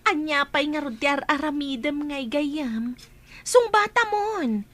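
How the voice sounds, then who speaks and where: A woman's voice: high voice, measured pace. A woman playing a mischievous, teasing young female best friend in a Filipino radio drama. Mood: playful, teasing.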